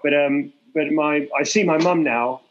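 A man's voice: a little high, measured pace, highs cut off. A man from England in his forties, a guest artist talking candidly in an interview, rambling and self-deprecating with many restarts.

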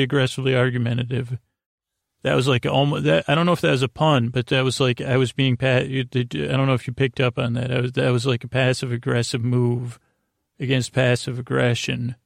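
Gravelly man rambling a bedtime monologue. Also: mid-range voice, dry recording, clean studio sound. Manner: meandering, halting, trails off and repeats himself; lulling, soothing delivery. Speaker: podcast host. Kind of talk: rambling bedtime monologue to help listeners sleep.

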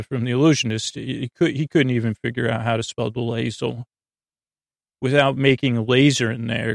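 A man with a creaky, gravelly voice hosts a bedtime podcast. He meanders through improvised digressions, stopping and restarting mid-word. The sound is close and very dry, and his pitch sits in a middle male range. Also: no echo. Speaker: podcast host. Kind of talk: rambling improvised bedtime monologue.

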